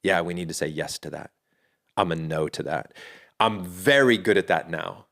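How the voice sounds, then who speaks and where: A man's voice: sits low, moderate pace, vocal fry, fairly bright tone. A middle-aged man speaking as a podcast guest, earnest and reflective, often restarting his sentences as he thinks aloud. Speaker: podcast guest, fatherhood community founder. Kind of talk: casual interview talk.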